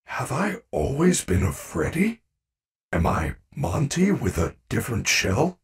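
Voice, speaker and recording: A man voicing a gentle, earnest animatronic bear in a video game, speaking formally and carefully with few contractions. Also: medium pitch, big pitch swings, measured pace, vocal fry, breathy edge, recorded from a distance, exaggerated voice. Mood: anxious wonder.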